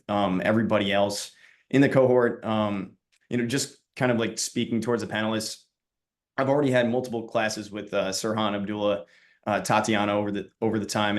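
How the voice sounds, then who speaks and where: A young man from Long Island, New York, introducing himself as a student panelist on a video call, relaxed with many fillers. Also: on the low side. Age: young adult.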